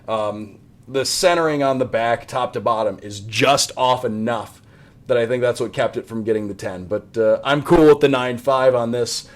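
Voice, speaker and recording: A man's voice: mid-range voice, normal speed. An American man in his thirties chatting casually and enthusiastically as a podcast guest about his hobby. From US Midwest. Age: 30s. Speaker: podcast guest, sports radio play-by-play announcer.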